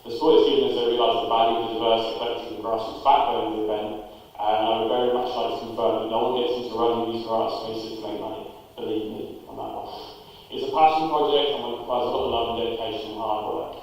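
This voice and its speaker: Man, rapid earnest public statement, slightly low voice, distant mic in a reverberant chamber. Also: little pitch movement, a little mellow. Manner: brisk, earnest, many ums and uhs. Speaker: applicant's supporter speaking at a planning committee. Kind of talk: prepared public statement, partly read aloud. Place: large council chamber.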